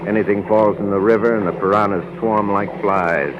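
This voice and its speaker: An actor playing a cool, tough adventurer and leader, brisk and matter-of-fact, in 1940s radio adventure style. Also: male voice, on the low side, fairly even intonation, natural voice. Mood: grim, matter-of-fact. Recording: noisy muffled old-time radio recording.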